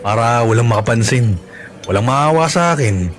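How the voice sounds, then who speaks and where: A man's voice: mid-range voice, animated, moderate pace, close to an everyday voice. An actor playing a burdened father confiding in a coworker, casual and resigned, in a Filipino radio drama. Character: burdened male coworker, weary father hiding troubles. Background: background music.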